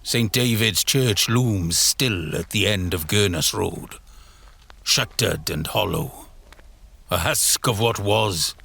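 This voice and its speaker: A man narrating a horror audio drama in a brooding, theatrical way, in short halting phrases. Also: lowish voice, gravelly, bright, forceful tone, slightly rough. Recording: music bed under the voice. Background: music.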